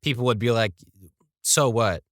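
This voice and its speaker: Guy on a podcast telling stories, bright voice, does little quotes, really dry close sound. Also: mid-range voice, normal speed, no echo. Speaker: podcast guest, voice actor. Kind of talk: casual anecdotal chat.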